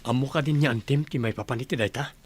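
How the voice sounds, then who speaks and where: A man's voice: medium pitch, fast, husky, lightly acted. A man with an Ilocano accent playing a young working man soon to marry, in a radio comedy-drama. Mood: concerned.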